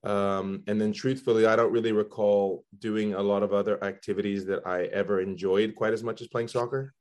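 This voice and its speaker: Middle-aged male soccer executive from Belgium, low voice, measured delivery with ums. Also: level tone, a little mellow. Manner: thoughtful, measured, with frequent ums. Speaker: guest, soccer general manager. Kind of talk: interview answers.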